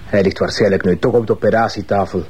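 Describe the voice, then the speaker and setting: An actor playing a cold, scheming criminal who mixes Spanish into his Dutch, husky-voiced, in a radio crime drama. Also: on the low side, steady tone, fast talker, a little mellow. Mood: cold, calculating.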